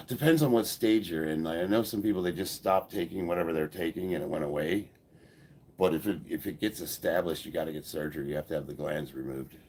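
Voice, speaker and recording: A man's voice: low voice, a little hiss or hum. A man in his fifties with an American accent, hosting a casual livestream and answering viewer comments bluntly with some swearing, stuffed up from a head cold. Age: 50s. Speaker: livestream host and powerlifter.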